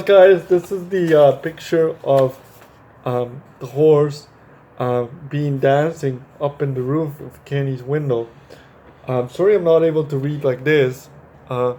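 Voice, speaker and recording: A man hosting a children's storytime, reading and chatting to young kids in a halting, repetitive way with simple explanations. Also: a little high, darkish tone. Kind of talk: reading a picture book and talking to young children.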